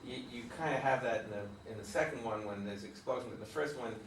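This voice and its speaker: A man's voice: medium pitch, fast, slight background noise. A man giving rambling, thoughtful feedback on a play in a casual group discussion, with many restarts. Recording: roomy and distant.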